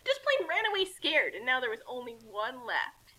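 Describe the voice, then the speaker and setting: Female story reader, high-pitched and swooping, animated comic narration. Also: normal speed. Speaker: reader of fan fiction.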